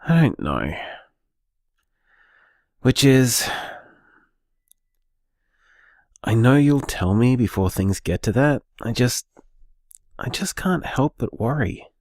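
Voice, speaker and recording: A man acts out an affectionate, anxious boyfriend in an audio drama. The voice is lively in pitch and recorded dry and close, with earnest, hesitant, informal phrasing. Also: slow, pauses often, no room sound, exaggerated voice. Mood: worried, vulnerable. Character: anxious, affectionate, conscientious boyfriend planning a bar. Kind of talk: naturalistic audio drama monologue.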